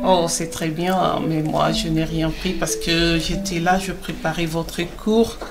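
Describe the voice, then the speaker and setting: A woman playing a kind mother who gives children a Bible lesson in an animated film, encouraging and explanatory, with some hesitations. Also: low voice, a little slow, over music. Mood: welcoming.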